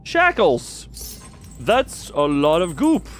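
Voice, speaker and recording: A man's voice: on the high side, wildly swooping pitch, extremely slow, slight background noise. A man doing casual live game commentary as a streamer, reading text aloud and adding wry, muttered asides.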